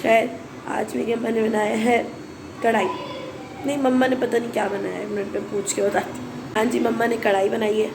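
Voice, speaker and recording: A young woman from Karachi, Pakistan, with a hoarse, sore throat, chatting casually and rambling in a home vlog. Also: a little high, flat delivery, normal speed. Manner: rambling, chatty, mixes in English. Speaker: vlogger and schoolteacher. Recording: noisy background hum.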